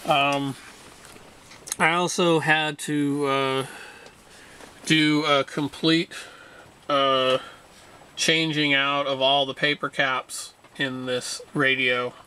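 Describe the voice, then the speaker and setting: A man giving an informal hands-on demonstration as a hobbyist restorer, explaining in a halting, matter-of-fact way. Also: mid-range voice, flat delivery, pushed and bright, clean tone.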